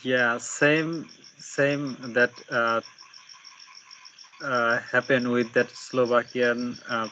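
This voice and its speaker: Slow, bright-voiced man, noisy line. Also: mid-range voice, flat delivery. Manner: halting, searches for words. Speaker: English learner. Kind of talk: casual practice conversation. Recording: dull, noisy with insect chirps.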